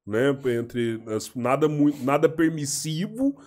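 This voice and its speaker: A man's voice: on the high side, very animated, takes it slowly, darkish tone. A man hosting a casual podcast, talking informally with slang and frequent restarts. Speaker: podcast host.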